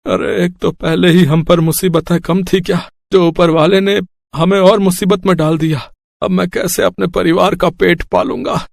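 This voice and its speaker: A man's voice: high voice, fairly even intonation, normal speed, creaky, dry recording. A man voicing a downtrodden poor labourer husband in a moral story, with a folksy manner. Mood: despairing.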